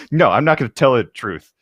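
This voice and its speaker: A man's voice: medium pitch, a bit bright, slightly rough, no room sound, clean studio sound. A man co-hosting a read-along, reading from a novel and breaking off for wry, casual asides. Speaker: co-host of a group read-along show. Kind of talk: casual chat mixed with reading a novel aloud.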